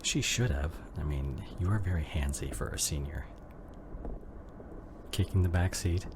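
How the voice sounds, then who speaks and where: A man's voice: extremely low pitch, unhurried, noisy background. A man acting a devoted, worried husband in an emotional ASMR drama, speaking intimately and breathily, often half-whispering. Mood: worried, affectionate. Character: devoted, worried husband comforting his injured wife.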